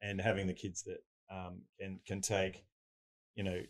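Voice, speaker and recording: Man, deep voice, podcast guest, explains with analogies. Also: monotone, unhurried, rough recording. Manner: explains at length with everyday analogies. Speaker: guest, tech business owner. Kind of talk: casual interview chat.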